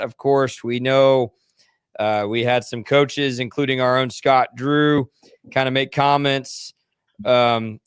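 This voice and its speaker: Sports radio cohost guy, smooth clear voice, dry close mic, chatty. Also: medium pitch, fairly bright tone, no room sound. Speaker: sports show co-host. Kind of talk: casual radio sports chat.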